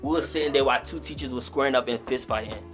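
A man's voice: medium pitch, very fast, music in the background. Laid-back young man telling stories. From Nigeria. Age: 20s. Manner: storytelling, relaxed slangy talk. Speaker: guest rapper. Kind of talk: casual podcast interview.

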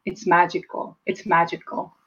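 Woman in her 40s, Estonian accent, measured and reflective, slightly muffled lo-fi audio. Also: lowish voice, level tone, sharp tone. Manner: measured, reflective, slows to stress key words. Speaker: guest, speaker and trainer. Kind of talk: casual interview chat. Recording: slightly dull lo-fi sound.

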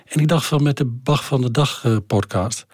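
A man with a Dutch accent presenting a music podcast, informal and hesitant, with many pauses. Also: mid-range voice, darkish tone, slightly rough, no room sound, studio quality. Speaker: podcast host. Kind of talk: conversational music podcast narration.

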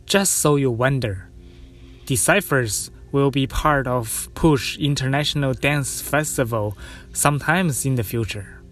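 Very slow, gentle man from China. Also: medium pitch, faint music behind. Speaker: dance artist. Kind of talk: guided narration.

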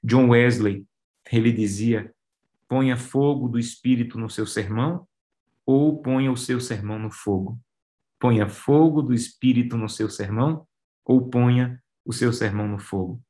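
Man, preacher, emphatic, rising stress, mid-pitched voice. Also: measured pace, mellow. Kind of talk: radio sermon.

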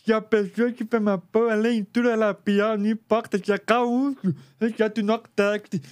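Very high-voiced man with carefully learned speech. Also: level tone, moderate pace, a little mellow, clean tone. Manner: deaf speaker who lip-reads, speech learned artificially; careful, uneven pronunciation. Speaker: guest. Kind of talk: casual interview.

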